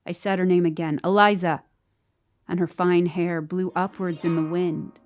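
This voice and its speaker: A woman acting a haunted, troubled narrator in a scripted first-person ghost story, slow and brooding. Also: fairly low pitch, fairly even intonation, soft background music, close to an everyday voice.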